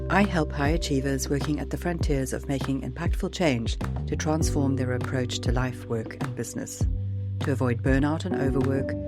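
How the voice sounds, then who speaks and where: A woman podcast host reading her scripted intro and updates in an upbeat, clear way, her voice a little gravelly today. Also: rumbling low. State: slightly gravelly voice.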